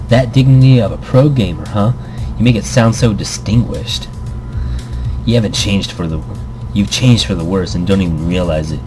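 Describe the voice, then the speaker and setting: A man voicing a sharp-tongued female pro gamer mentor in a visual novel, blunt, sarcastic and critical, with music behind. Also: low-pitched, normal speed, close to an everyday voice. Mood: cutting sarcasm.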